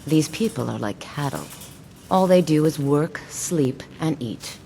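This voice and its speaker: A woman's voice: sits low, expressive, a little slow, gravelly, warm tone, a little hiss or hum, heavily acted. A woman voicing a seductive, bored townswoman in a fantasy game, languid and insinuating with sly disdain. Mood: sly, disdainful.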